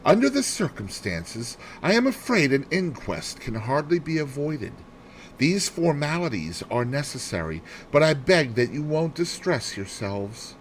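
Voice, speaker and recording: Man acting a formal, raised-pitch doctor. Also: sing-song, measured pace, a little hiss or hum, low-quality recording. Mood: brisk, formal. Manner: formal, authoritative. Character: grave, formal male doctor in a mystery novel.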